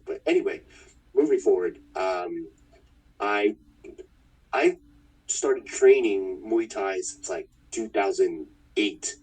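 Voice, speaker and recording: A man in his thirties from Manitoba, a fighter talking about his past in a relaxed, rambling way. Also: low voice, slightly rough, far from the mic, low-quality recording. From Winnipeg, Manitoba, Canada. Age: 30s. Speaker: fighter, interview guest. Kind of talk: casual interview chat.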